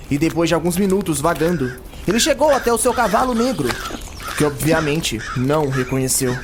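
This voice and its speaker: A man's voice: on the high side, slight background noise. A man narrating a scripted fantasy audio drama as a dramatic storyteller. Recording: loud music behind the narration.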